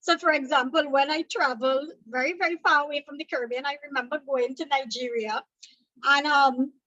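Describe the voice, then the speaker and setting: Trinidadian woman, teacher, exam revision, explains step by step, says "okay?", crisp bright tone, dull lo-fi sound. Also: sits high, fairly even intonation, fairly quick, no echo. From Trinidad and Tobago. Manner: explanatory, repeats points, checks in with "okay?".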